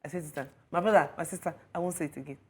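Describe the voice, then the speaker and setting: A woman hosting a casual panel chat in a TV studio, animated and quick to laugh, repeating phrases for emphasis. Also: low-pitched, a little hiss or hum. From Nigeria. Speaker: talk show host.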